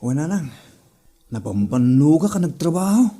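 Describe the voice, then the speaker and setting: A man's voice: a little high, sing-song, a little slow, dark tone. A man playing an obedient, put-upon young office IT worker, deferential and weary, in a comedy audio drama.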